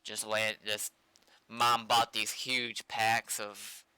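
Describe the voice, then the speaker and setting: A young man with a very bright, crisp voice chats casually as a streamer. His delivery rambles and tends to trail off into mumbles. He sounds close and dry on the mic, recorded in a small room. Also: lowish voice, level tone, takes it slowly, dry recording. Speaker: streamer and game developer. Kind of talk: casual livestream chat.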